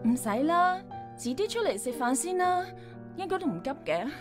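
An actress playing a weary, lonely young doctor with a sighing, brittle manner, in a Hong Kong Cantonese radio drama. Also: female voice, sits high, big pitch swings, normal speed, over music. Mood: weary impatience. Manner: sighing, impatient, emotional.

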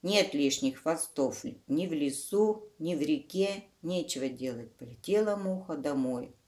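A woman's voice: low-pitched, lively intonation, measured pace, darkish tone. A woman reading a children's story aloud, explaining things simply to young listeners with fond diminutives. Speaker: children's book reader, channel host.

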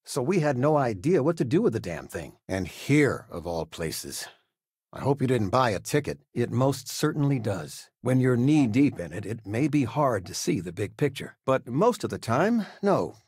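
Game dad, older: husky, very animated pitch, folksy, fussing, gently humorous retired teacher. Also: male voice, normal speed, dry recording, studio quality. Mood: conversational, fond. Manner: hesitant, folksy, expressive. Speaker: voice actor. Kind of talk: video game character dialogue.

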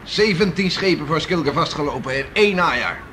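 Hardy, bluff beachcomber husband, slightly high voice, emphatic, noisy vintage radio drama. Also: male voice, animated, fairly quick, sharp tone. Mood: boastful, emphatic. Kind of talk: 1960s radio play acting. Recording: noisy old radio play recording.

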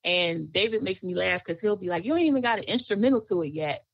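A woman guest singer, chatting in an interview in a relaxed, storytelling way, laughing often. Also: medium pitch, quick pace, vocal fry, no room sound, dull audio. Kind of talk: casual interview chat.

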